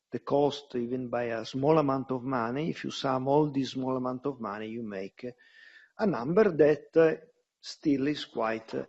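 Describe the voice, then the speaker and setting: Gravelly, husky Italian man on a call. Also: mid-range voice, darkish tone, muffled recording. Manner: halting, deliberate explaining with repeated phrases. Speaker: company executive. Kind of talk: answering questions on an earnings call.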